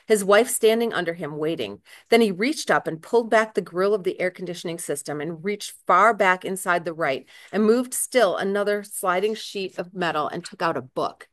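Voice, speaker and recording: A woman reading a novel aloud in expressive literary narration. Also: on the low side, dry recording. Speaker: reader, author.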